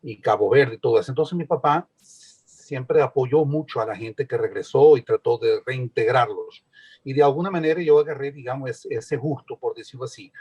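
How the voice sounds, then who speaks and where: A man's voice: medium pitch, normal speed, a little mellow, slightly rough. A man in his sixties, born in Portugal, speaking as a guest on a video-call panel in a casual, hesitant way, often repeating words as he starts a thought. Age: 60s. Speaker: guest panelist, community activist. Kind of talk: casual discussion.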